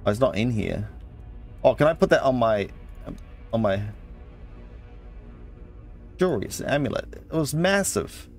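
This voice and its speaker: A man's voice: mid-range voice, very animated, normal speed, long pauses. A man giving casual let's play commentary, thinking aloud to himself as he plays. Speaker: gaming channel host. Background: game music.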